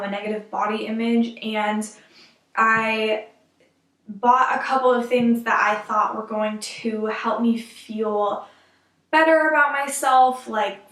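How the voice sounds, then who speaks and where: Young female vlogger, high-ish, sniffly, rambling and filler-heavy, echoey distant home recording. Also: fairly bright tone. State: sniffly from allergies. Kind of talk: casual to-camera chat. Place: room at home. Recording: echoey room, camera a bit far.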